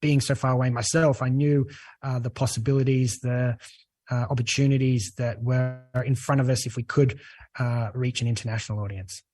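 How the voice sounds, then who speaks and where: A man with an Australian accent, a guest on a talk show, speaking earnestly and relaxed, with many "uh" fillers. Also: medium pitch, moderate pace. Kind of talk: podcast interview.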